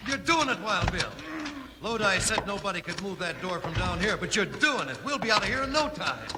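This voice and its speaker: Casting: eager young cowboy ally, fairly high voice, American, old radio drama with reverb. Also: male voice, very animated, fairly quick, very noisy. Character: Jim, earnest young cowboy ally of the lawman. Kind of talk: 1950s radio western acting.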